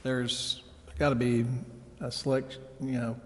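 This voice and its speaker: A man, a council member, speaking unscripted at a public meeting in a halting, measured way. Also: medium pitch, flat delivery. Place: large indoor council chamber. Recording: echoey council chamber.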